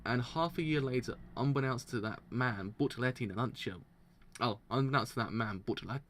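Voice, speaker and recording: A man streaming a live read-through of comics, stumbling over words, restarting lines, and breaking into excited laughter, his throat sounding strained. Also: mid-range voice, fairly quick, a little hiss or hum. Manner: excitable, stumbles and restarts while reading aloud, bursts into laughter. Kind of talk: live reading and reaction.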